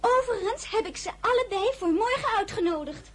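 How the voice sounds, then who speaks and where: An actress playing an impulsive, eager teenage merchant's daughter in a girlish, excitable manner in a radio drama. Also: extremely high pitch, a little fast. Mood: defensive.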